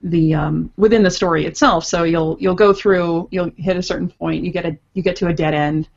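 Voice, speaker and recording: A woman's voice: fairly low pitch, steady tone, fairly quick, vocal fry, muffled recording. A woman guest explaining things casually over an internet video call. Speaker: guest interviewee, visual novel writer.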